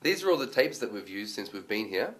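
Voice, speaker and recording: A twenty-seven-year-old Australian man explaining his documentary work in a relaxed, thoughtful way, pausing with ums and restarting sentences. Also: lowish voice. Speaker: documentary filmmaker. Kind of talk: casual explanatory talk to camera.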